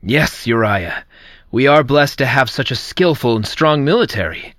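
An actor playing a smooth-talking, seductive male president in an audio drama, coaxing and persuasive. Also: medium pitch, lively intonation, normal speed, fairly bright tone, dry recording, clean studio sound, close to an everyday voice. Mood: cordial.

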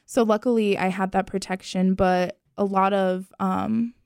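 A young woman from the rural Carolinas telling personal stories in casual talk, pausing often with fillers. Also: mid-range voice, fairly even intonation, gravelly, a little mellow, smooth, no room sound, clean studio sound. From rural North and South Carolina, US. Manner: hesitant, many filler pauses. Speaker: discussion participant.

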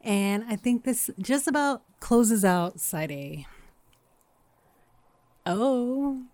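A woman with a deep voice co-hosting a podcast in casual chat, making dry, brief remarks. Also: lively intonation, drawn-out words, darkish tone, no echo. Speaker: podcast co-host.

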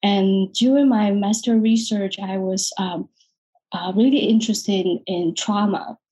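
A woman from Taiwan, a psychologist talking about her career in a relaxed interview, hesitant with many restarts and quick laughs. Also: mid-range voice, slightly rough, rough recording. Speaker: guest psychologist. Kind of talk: casual interview chat.

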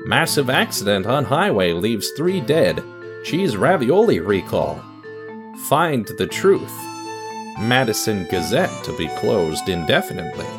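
Low male voice, podcast host, scripted delivery, dramatic storyteller, music underneath. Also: big pitch swings, unhurried. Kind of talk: scripted narration and podcast announcements.

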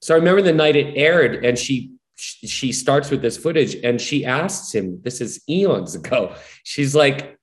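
A man's voice: medium pitch, expressive, unhurried. Earnest storytelling man from Hawaii. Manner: earnest, rambling with frequent "you know" and "like". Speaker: musician guest. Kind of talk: casual reflective storytelling.

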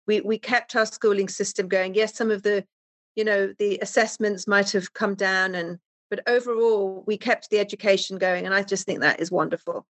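An Englishwoman on a discussion panel speaks with bright, emphatic enthusiasm. Her English accent stays clear throughout, and her voice sits at an ordinary middle pitch. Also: steady tone, normal speed. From England.

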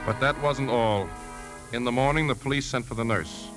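A man's voice: medium pitch, normal speed, over music, close to an everyday voice. An actor playing a grave man narrating a 1950s radio crime drama. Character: grave male narrator-protagonist, the widow's brother-in-law. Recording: old 1950s radio broadcast.

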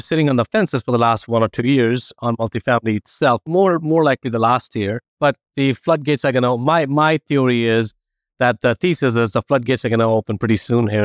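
A man's voice: medium pitch, no echo, studio quality. A man with an Indian accent hosting a podcast interview, friendly and rambling with many restarts. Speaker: podcast host. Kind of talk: casual interview chat.

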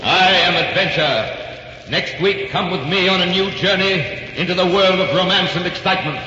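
An actor playing Adventure personified, a bold, mysterious, romantic host in dramatic 1940s radio style with heavy echo. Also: male voice, high-pitched, normal speed, far from the mic, lo-fi sound. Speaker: show host. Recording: cavernous reverb on noisy old radio.

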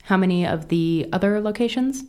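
A woman playing a sharp, impatient intelligence agent in an audio drama, speaking in a blunt briefing manner. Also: medium pitch, normal speed, natural voice. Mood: exasperated.

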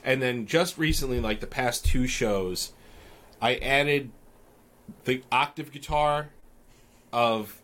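A man's voice: mid-range voice, slow pace, long pauses, fairly bright tone. A man co-hosting a podcast, chatting casually and rambling through long questions with many restarts. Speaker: podcast co-host.

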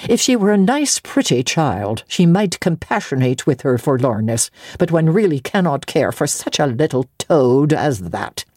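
A sour servant woman from a classic storybook, acted by a woman narrator. She gossips with open disdain in a dark, husky voice. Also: low-pitched, big pitch swings, normal speed, hardly any pauses, no echo, clean studio sound, exaggerated voice. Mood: grudging.

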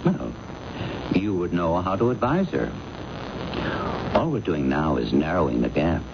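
Deep, gravelly, shrewd police inspector. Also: male voice, big pitch swings, measured pace, heavily acted. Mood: needling, patient. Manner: probing, dryly insinuating. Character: shrewd, patient, needling male police inspector. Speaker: radio drama actor. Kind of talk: 1970s radio drama acting. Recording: muffled, noisy old radio broadcast recording.

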